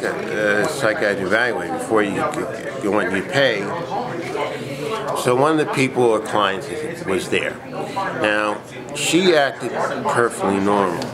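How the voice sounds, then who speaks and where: A man being interviewed, telling a personal story in a halting, rambling way and often repeating himself. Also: mid-range voice. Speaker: interview guest. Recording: loud crowd din in a public space.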